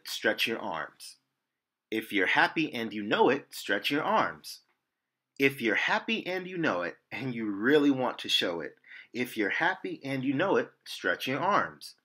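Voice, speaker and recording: Lively, bright-voiced man reading to kids. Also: medium pitch. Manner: reads song lyrics in a sing-song, rhythmic way. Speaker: storytime reader. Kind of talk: reading a picture book aloud to children. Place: indoor room.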